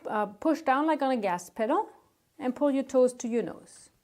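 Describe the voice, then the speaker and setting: Woman with a highish voice walking a patient thru an exam step by step, polite and encouraging. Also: animated, normal speed, a little mellow. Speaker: nursing instructor demonstrating an exam. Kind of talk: instructional clinical demonstration, step-by-step directions to a patient.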